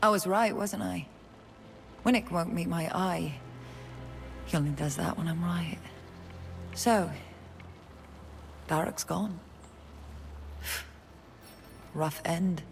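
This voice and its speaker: Slow, creaky, weary townsperson. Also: medium pitch, soft background music. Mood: knowing. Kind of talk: dramatic fantasy game acting.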